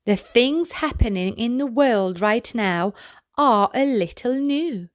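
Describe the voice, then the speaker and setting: A woman narrates a children's read-aloud in a fairly high voice. Her delivery is very slow and deliberate, gently pitched at little listeners. The sound is dry, with hardly any room echo. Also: expressive. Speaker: children's storybook reader, channel host.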